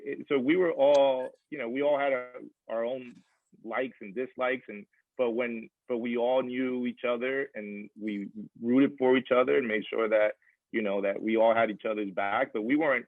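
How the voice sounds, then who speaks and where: A middle-aged man reminiscing casually, halting and repeating himself with many false starts. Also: medium pitch, no room sound. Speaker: former college athlete. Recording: muffled, dry, narrow-band audio.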